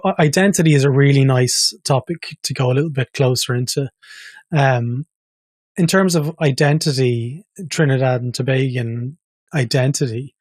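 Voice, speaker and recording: A man's voice: medium pitch, a little mellow, no echo, clean studio sound. An Irish man hosting a podcast interview, conversational, often giving short affirmations. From Ireland. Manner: conversational, frequent brief affirmations. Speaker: podcast host.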